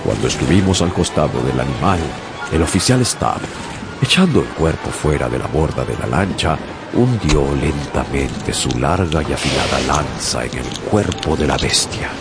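A sailor narrates a sea tale in a radio play, measured and steady. His voice is extremely deep. Loud music runs beneath the narration. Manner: measured, storytelling. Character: man, sailor recounting a whale hunt.

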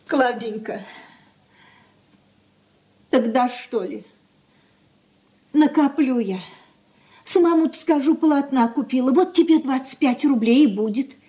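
An actress in a Soviet radio drama playing a worried, soft-hearted mother of a merchant household, sighing and fretful. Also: high-pitched, animated, measured pace, pauses often, slightly rough, heavily acted. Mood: coaxing.

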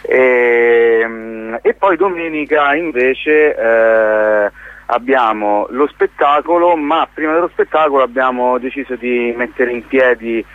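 A man giving an informal radio interview as a theatre organiser, explaining events in a halting, hesitant way. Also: medium pitch, no echo. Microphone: phone call. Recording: tinny, narrow phone line on radio.

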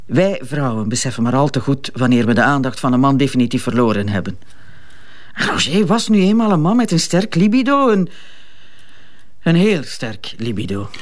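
A woman's voice: rumbling low, very animated, moderate pace. A middle-aged woman with a Flemish accent playing a cynical, blunt betrayed wife in an audio drama. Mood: sardonic, frank. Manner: frank, sardonic, unabashed. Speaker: actor.

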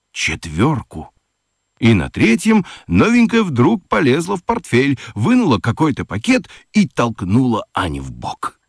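A man narrating a children's radio play with expressive storytelling. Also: mid-range voice, husky, no room sound, studio quality.

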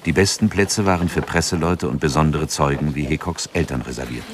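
An actor narrating a scripted radio drama in a sober, factual reporting manner. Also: male voice, a bass voice, fairly even intonation, a little fast, very noisy.

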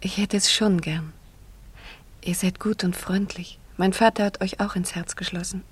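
An actress playing a young, warm-hearted village girl with a secret, earnest and often hushed, in a German radio drama. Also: medium pitch, fairly even intonation, normal speed, husky. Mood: tender, mysterious.

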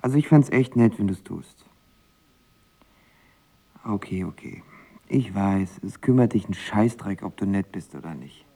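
A man's voice: on the low side, expressive, unhurried, long pauses, mellow, husky, exaggerated voice. An actor playing a lovesick, volatile young man in an audio drama, talking in a colloquial, sarcastic, self-deprecating way. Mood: pleading, dejected. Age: young adult. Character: lovesick, volatile young male actor narrating his first heartbreak. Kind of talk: audio drama acting with first-person narration.

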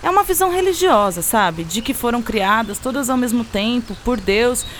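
A woman's voice: a little high, lively intonation, normal speed, slight background noise, lightly acted. An actress with a Brazilian accent playing a friendly, knowledgeable woman who patiently explains things in conversational radio drama dialogue, with some teasing. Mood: patient explaining.

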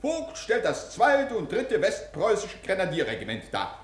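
A man acting a pompous, excitable prison director in a 1950s German radio play, declaiming and commanding like a schoolmaster. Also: high voice, normal speed. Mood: pleased, instructing. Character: pompous, excitable prison director drilling inmates in war games. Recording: roomy, faintly noisy 1950s radio recording.

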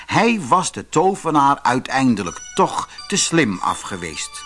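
A man narrating a scripted fairy tale audiobook in an expressive, dramatic storytelling manner. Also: medium pitch, unhurried, husky, faint music behind.

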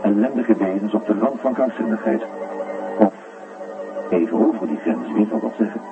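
An actor playing a grave, reflective doctor, giving measured first-person narration in a radio drama. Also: male voice, on the low side, expressive, creaky. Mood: grave, brooding. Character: grave, brooding male doctor narrating a grim account. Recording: tinny narrow radio recording, music behind.

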